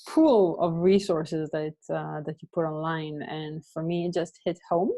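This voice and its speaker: A woman whose first language is Hebrew speaks in a somewhat low voice. She answers slowly and hesitantly, with many fillers. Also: steady tone, dark tone. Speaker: visual practitioner, guest. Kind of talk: casual interview answers.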